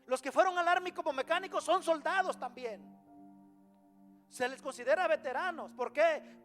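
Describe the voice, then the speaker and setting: A man's voice: very high-pitched, fairly bright tone. A man with a Latin American Spanish accent preaching a sermon emphatically, often putting rhetorical questions to his congregation.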